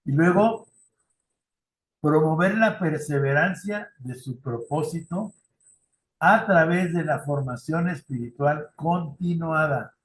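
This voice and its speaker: A man's voice: a little high, slow pace, reverberant, a little hiss or hum, poor audio. A middle-aged man with a Mexican Spanish accent leading a youth ministry training session, talking conversationally with frequent tag questions and personal anecdotes. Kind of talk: online formation talk. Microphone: video call.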